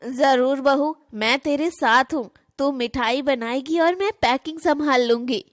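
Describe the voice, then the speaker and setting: A woman voicing a stern but loving elderly Indian mother-in-law in an animated moral story. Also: high voice, unhurried, no echo, studio quality. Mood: proud, loving.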